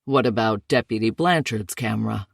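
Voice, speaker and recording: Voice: medium pitch, moderate pace, vocal fry, dry recording, studio quality. A reader as a weary, guarded lawman. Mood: firm. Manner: firm, controlled frustration. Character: stressed male sheriff, weary and guarded.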